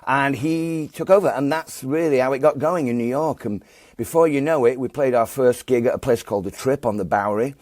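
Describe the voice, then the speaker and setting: A man's voice: mid-range voice, brisk, darkish tone, no echo. Yorkshire man telling a rambling story. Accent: Northern English, Yorkshire. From England. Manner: rambling, many fillers and restarts. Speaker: guest musician. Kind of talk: relaxed storytelling interview.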